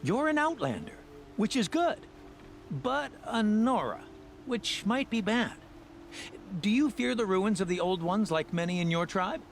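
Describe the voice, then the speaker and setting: An actor playing a pompous, excitable scholar of ancient artifacts in a video game, with theatrical enthusiasm. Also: male voice, sits high, a little slow, creaky, slight background noise, heavily acted. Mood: probing, eager. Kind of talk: video game character acting.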